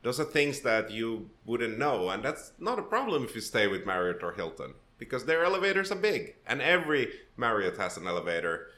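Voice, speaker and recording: A man's voice: medium pitch, sing-song, a little fast, few pauses, bright, forceful tone, echoey room. A man raised in Finland, a podcast guest talking through his story thoughtfully, with restarts and fillers. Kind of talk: conversational interview.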